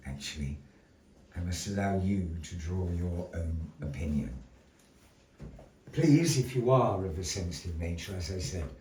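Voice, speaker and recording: A man telling a dark fairy tale live in a florid, dramatic manner, with deliberate pauses. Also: sits low, slight background noise. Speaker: storyteller in a puppet show. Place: indoor gallery performance space. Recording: echoey room, distant mic.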